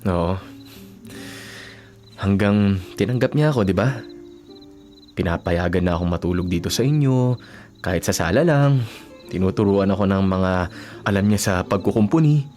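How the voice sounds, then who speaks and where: A man playing a devoted young working-student boyfriend in a Filipino radio drama, speaking gently, earnestly and reassuringly. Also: sits low, lively intonation, moderate pace, pauses often, soft background music. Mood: fond, nostalgic. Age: young adult.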